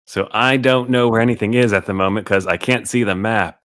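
A man's voice: on the low side, a little fast, a bit bright, dry recording. A man playing a tabletop game in a playful, joking way. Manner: playful, jokey. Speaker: player. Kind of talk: casual tabletop play.

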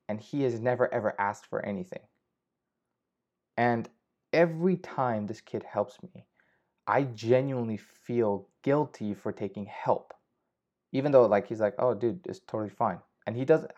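A man's voice: mid-range voice, darkish tone. A young man hosting a casual solo podcast, rambling with frequent swearing and restarts. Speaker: podcast host. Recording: slightly dull lo-fi audio.

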